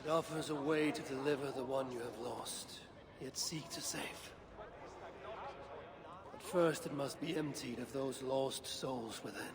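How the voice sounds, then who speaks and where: An actor playing a vengeful, weary Norse father god in a video game, speaking gravely and deliberately with a gravelly voice. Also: mid-range voice, big-room sound, crowd noise, natural voice. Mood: grim, commanding. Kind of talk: dramatic cinematic video game acting.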